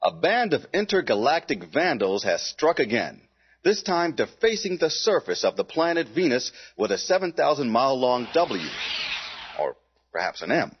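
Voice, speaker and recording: An actor playing a polished, upbeat space news anchor, reading mock TV news with slick, emphatic announcer delivery. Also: male voice, mid-range voice, very animated, moderate pace, breathy edge. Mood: mock serious. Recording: slightly dull, music behind.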